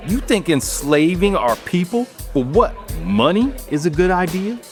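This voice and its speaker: An actor in an audio drama playing a shrewd, domineering gang boss with slangy street talk and corporate airs. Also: male voice, high-pitched, very animated, unhurried, soft background music. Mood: angry.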